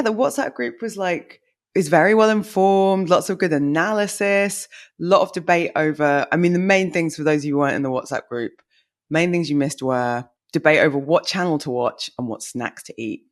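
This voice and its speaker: Husky-voiced woman, chatty co-host. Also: sits low. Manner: chatty and wry, quick to joke. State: husky from a late night. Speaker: podcast co-host. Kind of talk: casual chat.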